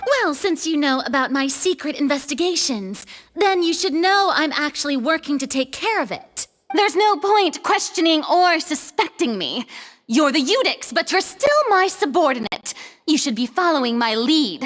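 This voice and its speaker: A theatrical high-voiced goddess. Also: female voice, lively intonation, moderate pace. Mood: haughty. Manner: theatrical, defensive, dramatic. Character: flamboyant, evasive young female goddess. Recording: game audio with reverb and soft music.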